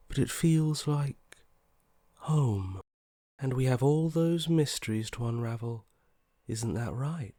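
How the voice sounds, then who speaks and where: An actor playing a detached, morbidly curious inner voice in a video game, with a languid, blasé delivery that softly trails off. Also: male voice, medium pitch, no room sound, clean studio sound. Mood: morbid curiosity. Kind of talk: video game character voice acting.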